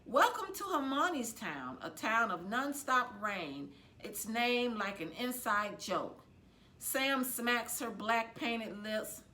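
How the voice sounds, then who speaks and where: A woman reading a children's novel aloud as a librarian would, in an expressive, dramatic storytelling style with deliberate phrasing. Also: on the high side.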